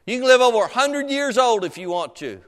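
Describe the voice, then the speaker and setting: A man's voice: very high voice, sing-song, fairly quick, a bit bright. A middle-aged man preaching a sermon in a folksy, exhorting manner, punctuating his points with questions and amens to the congregation. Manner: folksy, colloquial phrasing. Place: preaching to a congregation in a large room. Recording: reverberant hall with long echo.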